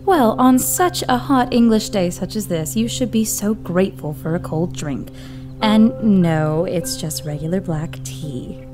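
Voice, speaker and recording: A woman's voice: lowish voice, measured pace, natural voice. A woman playing a playful, caring live-in assistant who teases her elderly employer. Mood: teasing. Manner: teasing, playful. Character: young American woman assistant, teasing and caring. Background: music.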